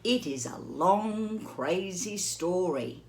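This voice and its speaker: A woman's voice: lowish voice, lively intonation, takes it slowly, darkish tone, slight background noise, pitched below the performer's own voice. Woman playing an earnest storytelling elephant. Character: earnest male elephant telling a long story.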